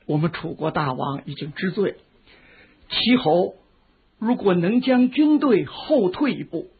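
An actor playing a humble, diplomatic envoy of an ancient Chinese state in a radio drama, speaking formally and deferentially. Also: male voice, high-pitched, breathy edge, full character voice. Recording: dull, lo-fi radio drama recording.

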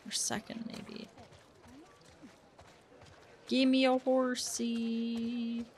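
A woman's voice: fairly high pitch, level tone, drawn-out words, a little mellow, slight background noise. A woman giving casual, playfully dry, grumbling reactions as she plays a game, close to the microphone. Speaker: gamer commenting over gameplay. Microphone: close dynamic microphone. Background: game music and sounds.

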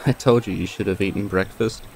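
A man's voice: low-pitched, fairly even intonation, a little fast, creaky, darkish tone, poor audio. A man playing a cocky young rival in a roleplay audio drama, casual and bickering. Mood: scolding.

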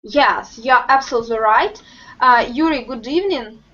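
A young woman teaching an online English lesson, asking questions slowly and patiently over a webcam video call. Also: on the high side, pushed and bright. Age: young adult. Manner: slow, patient, encouraging questions. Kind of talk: online group language lesson. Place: home room with bookshelves. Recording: muffled video call audio.

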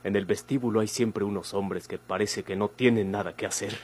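An actor playing the respectful young son of a Mexican outlaw in an old radio drama. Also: male voice, fairly low pitch, steady tone, a little fast, darkish tone, close to an everyday voice. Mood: respectful, amused. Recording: noisy old radio recording with hum.

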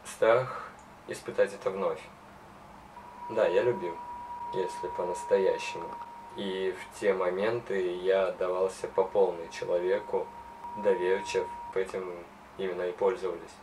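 A man in his twenties answering interview questions indoors, quiet and reflective. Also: low-pitched, little pitch movement, measured pace, distant mic, noisy background. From Russia. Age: 20s. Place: indoors against a wall.

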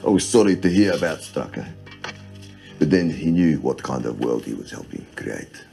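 A man's voice: a bass voice, sing-song, moderate pace, gravelly, dark tone, faint music behind, heavily acted. An actor playing a sardonic middle-aged male arms dealer with a drawling manner, on a film soundtrack.

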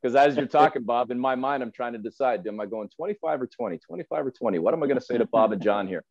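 A man's voice: mid-range voice, lively intonation, quick pace, creaky, darkish tone, no room sound. A man, debating sports emphatically as a guest, repeating phrases, over dull video-call audio. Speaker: radio play-by-play announcer, podcast guest. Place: room at home on video call.

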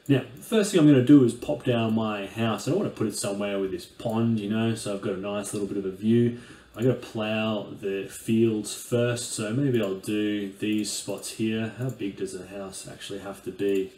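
A man's voice: lowish voice, a little mellow, echoey room. A man, casually reviewing and playing a game, chatty with many fillers and restarts, at a desk microphone in a small room. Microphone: desk microphone on stand.

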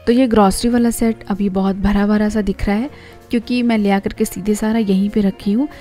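A woman's voice: mid-range voice, steady tone, fairly quick, dark tone, faint music behind. A young Indian woman narrating her home cleaning vlog, chatty and explaining household tips step by step. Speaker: home vlogger.

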